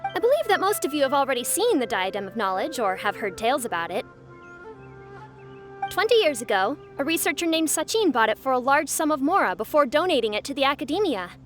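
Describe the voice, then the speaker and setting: A woman's voice: high-pitched, sing-song, a little fast, faint music behind. A woman voicing an enthusiastic, formal championship announcer in a video game, polished and ceremonious. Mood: formal, proud. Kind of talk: video game character acting.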